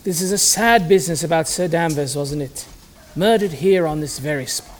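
Guy playing a genial victorian gent, high lively voice, courteous, bit of background noise. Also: moderate pace, lightly acted. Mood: conversational, considered. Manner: animated, courteous. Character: Enfield, genial, sociable Victorian gentleman cousin. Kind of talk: period audio drama acting.

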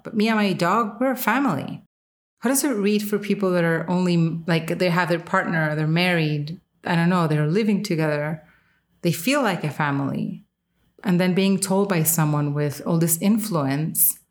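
Female podcast host with a fairly low voice, delivering frank, emphatic opinion commentary in casual speech. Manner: emphatic, candid, with casual swearing.

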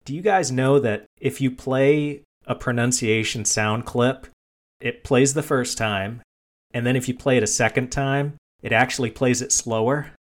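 Male podcast host, mid-pitched voice, casual and teasing, chats about films with joking asides. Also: fairly even intonation, normal speed. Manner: jokey, teasing. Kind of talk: casual film discussion.

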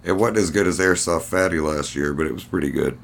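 A man's voice: low-pitched, a little fast, creaky. A man hosting a casual podcast, talking in a dry, rambling, profane way. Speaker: podcast host. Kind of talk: casual solo podcast chat, voicing absent co-hosts.

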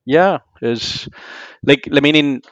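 A man from Colombia with a Colombian accent, speaking English as a second language in a casual interview, halting with many restarts. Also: fairly high pitch, fairly bright tone, no room sound, lo-fi sound. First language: Spanish. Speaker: podcast guest.

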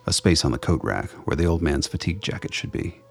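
Male drama narrator, extremely deep and raspy, scripted noir storytelling. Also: animated, fast.

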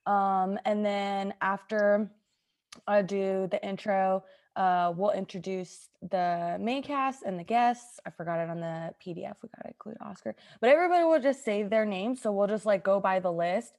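A woman hosts a podcast in a casual, conversational way. Her voice sits at a medium pitch, and she talks as if among a group. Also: moderate pace, a little mellow. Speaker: podcast host.

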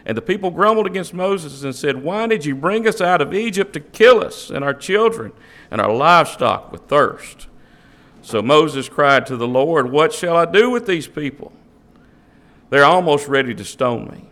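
A man reading a Bible passage aloud to a church congregation, steady and measured. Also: fairly high pitch. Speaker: scripture reader.

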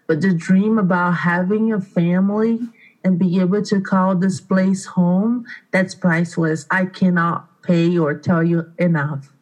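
A woman's voice: on the low side, steady tone, unhurried, darkish tone, low-quality recording. Earnest Brazilian-accented woman telling her story. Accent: Brazilian Portuguese. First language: Portuguese. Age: 30s. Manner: earnest, heartfelt storytelling with frequent restarts. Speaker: guest, art studio owner. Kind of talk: radio interview, personal story.

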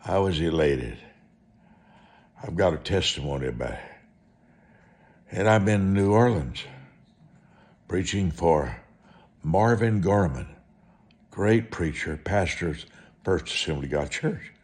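A man preaching in a personal testimony style, folksy and deliberate, repeating phrases for emphasis. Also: sits low, expressive, a little mellow. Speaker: preacher, evangelist.

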